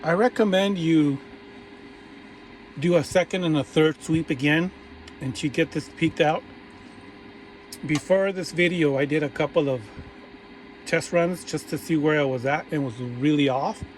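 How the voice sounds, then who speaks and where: Man, fairly high voice, relaxed workshop tutorial, talks while working, noisy background. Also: measured pace, long pauses. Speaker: vintage radio repair hobbyist. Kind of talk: casual hands-on tutorial. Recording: audible background noise.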